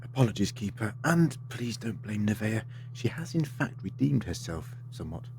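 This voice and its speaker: A man's voice: lowish voice, very animated, moderate pace, vocal fry, noisy background. A man acting in an audio drama as a polite, assured time-travelling adventurer, articulate and theatrical with a wry streak. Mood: earnest.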